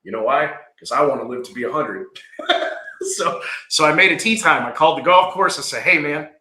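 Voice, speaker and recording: Business-owner guest, early 40s; bright forceful tone, lowish pitch, echoey room sound. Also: male voice, expressive, a little fast. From Georgia. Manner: earnest, rambling, frequent "right?". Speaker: landscaping company owner, guest. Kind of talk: casual podcast conversation.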